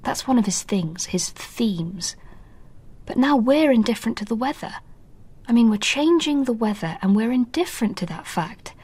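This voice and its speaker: An actress playing a middle-aged wife and mother in a radio drama, earnest and anxious, thinking aloud in a soft voice. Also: a little high, expressive, normal speed, husky.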